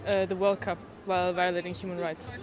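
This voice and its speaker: A woman's voice: lowish voice, flat delivery, fairly quick, a faint crowd. A young woman answering a street interviewer, giving her opinion earnestly with plenty of fillers. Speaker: passer-by interviewee. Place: city street outdoors. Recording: faint street noise outdoors.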